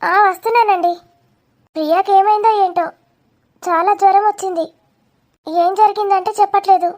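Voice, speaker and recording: A woman's voice: very high voice, fairly even intonation, normal speed, a little mellow. A woman voicing a stern, wary village mother in a cartoon drama. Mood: concerned.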